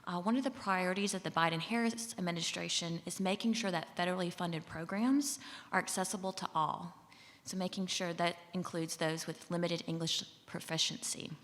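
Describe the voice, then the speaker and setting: A woman from Mississippi with a Southern accent, a government official giving a relaxed, friendly conference talk with fillers. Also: lowish voice, brisk. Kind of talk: conference presentation. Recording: long hall reverb.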